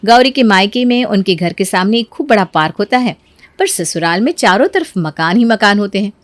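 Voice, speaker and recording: A woman's voice: mid-range voice, lively intonation, moderate pace, no room sound. A woman narrating a scripted family moral story as a storyteller.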